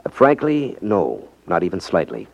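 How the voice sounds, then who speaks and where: Sly trickster, swinging pitch, muffled radio. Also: male voice, huge pitch swings, normal speed, gravelly, slight background noise, full character voice. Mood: coolly needling. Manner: coy, unruffled, feigns innocence. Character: sly, cool, confident male trickster. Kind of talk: 1950s radio drama acting. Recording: muffled 1950s radio recording.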